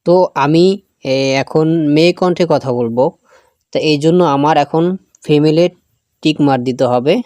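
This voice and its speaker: A man's voice: fairly high pitch, normal speed, long pauses, darkish tone, no room sound, clean studio sound. Male tech tutorial host addressing viewers. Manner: addresses viewers directly, repeats phrases. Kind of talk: spoken step-by-step app tutorial.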